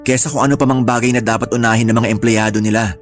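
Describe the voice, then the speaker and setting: An actor playing a worried, devoted young son in a radio drama, with an earnest, troubled way of talking. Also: male voice, mid-range voice, fairly even intonation, rapid-fire, soft background music, lightly acted. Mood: resigned, explaining.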